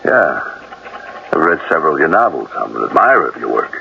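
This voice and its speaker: An actor playing a polite, probing police sergeant in a 1970s radio drama, with an official, methodical manner. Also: male voice, extremely low pitch, sing-song, a little fast, creaky, full character voice. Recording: muffled old radio recording, faint noise.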